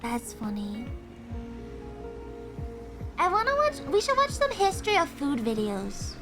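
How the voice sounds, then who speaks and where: A woman streamer chatting casually and thinking aloud, excitable, with frequent gasps and laughs. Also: very high-pitched, a little slow, over music. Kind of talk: casual livestream chat.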